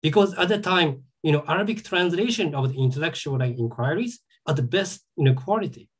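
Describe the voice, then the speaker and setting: A man, a native Japanese speaker with a Japanese accent, giving a seminar talk as an academic. He speaks haltingly, with many "you know" and "like" fillers. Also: fairly high pitch, animated, slightly rough. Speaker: academic lecturer.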